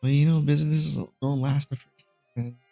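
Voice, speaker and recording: A man's voice: on the high side, fairly even intonation, normal speed, vocal fry, warm tone. A man chatting casually on a livestream in a teasing, slangy way, saying bro often. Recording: music playing behind the voice. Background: video game music.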